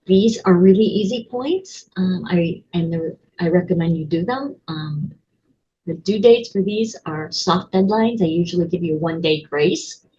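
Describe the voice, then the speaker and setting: A woman's voice: on the low side, normal speed, dark tone, husky, dull audio. A woman college instructor giving a casual walkthrough of her course, chatty and full of ums and restarts. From Connecticut, USA. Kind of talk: screen-recorded course walkthrough.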